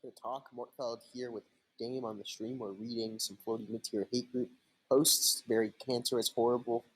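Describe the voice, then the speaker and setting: A man's voice: medium pitch, flat delivery, moderate pace, slightly rough, rough recording. A man co-hosting remotely over a voice call, bantering in a laid-back, rambling way. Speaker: co-host. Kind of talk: casual chat.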